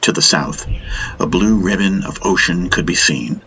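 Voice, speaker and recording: A man's voice: deep voice, normal speed, bright, forceful tone, a little hiss or hum, low-quality recording. A man narrating a first-person story as a dramatic audiobook.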